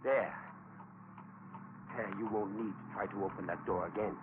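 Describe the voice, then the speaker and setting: Actor as low-voiced menacing stranger, noisy old radio. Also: male voice, fairly even intonation, moderate pace, lightly acted. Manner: insistent, coolly taunting. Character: menacing stranger, outwardly polite male kidnapper. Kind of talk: 1940s radio suspense acting. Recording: tinny, noisy 1940s radio recording.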